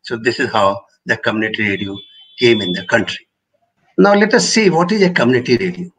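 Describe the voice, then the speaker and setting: Older male expert, Indian accent, mid-pitched slightly husky voice, formal and measured presentation delivery. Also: expressive, fairly bright tone, low-quality recording. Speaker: radio engineering expert giving a presentation. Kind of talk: explanatory lecture with personal recollection.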